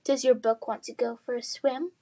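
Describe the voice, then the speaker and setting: A woman's voice: on the high side, flat delivery, normal speed, mellow. A woman voicing a cruel, mocking bully boy in a children's fantasy storybook. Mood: sneering, taunting. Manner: mocking, taunting.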